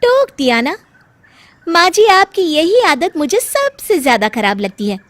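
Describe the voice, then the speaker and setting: A woman's voice: very high-pitched, sing-song, measured pace, a bit bright, no echo, pitched above the performer's own voice, heavily acted. A woman storyteller playing a haughty, irritable young daughter-in-law, curt and mocking. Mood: irritated. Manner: curt, mocking, exasperated delivery.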